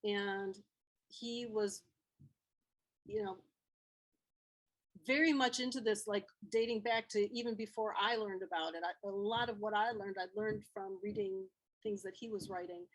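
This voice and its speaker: A woman's voice: mid-range voice, steady tone, a little slow, long pauses, poor audio. A woman activist speaking on a video call, explaining with frequent fillers and restarts. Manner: hesitant, many fillers and restarts. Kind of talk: informal talk answering questions.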